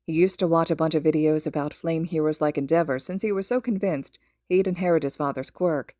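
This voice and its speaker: A woman's voice: low voice, fairly even intonation, a little fast, warm tone, no echo, studio quality. A woman reading scripted fiction aloud as a podfic narrator. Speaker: podfic reader and host.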